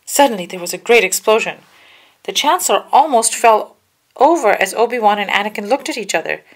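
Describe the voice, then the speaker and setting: A woman's voice: medium pitch, animated, brisk. A woman reading a children's storybook aloud with careful, dramatic narration. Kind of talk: children's book read-aloud, scripted narration.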